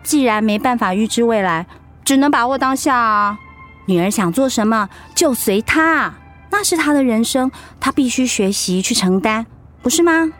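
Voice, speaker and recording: High-voiced, outspoken Taiwanese wife. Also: female voice, lively intonation, normal speed, fairly bright tone, soft background music. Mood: gently persuasive. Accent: Taiwanese Mandarin. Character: doting middle-aged wife, outspoken, recovering from illness. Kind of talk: radio drama acting.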